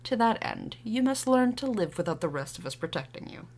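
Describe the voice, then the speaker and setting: A woman's voice: fairly high pitch, sing-song, fairly quick, darkish tone. A woman playing a stern warrior mother who rules her tribe, commanding and scornful. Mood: cold, commanding.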